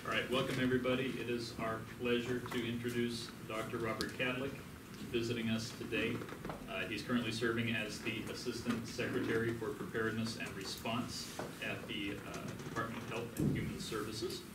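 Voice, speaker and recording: A man's voice: mid-range voice, level tone, a little fast. A middle-aged man hosting, reading a formal introduction from notes. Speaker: host introducing a speaker. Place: medium-sized lecture room, indoors. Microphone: far camera. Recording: noisy, echoey room, distant mic.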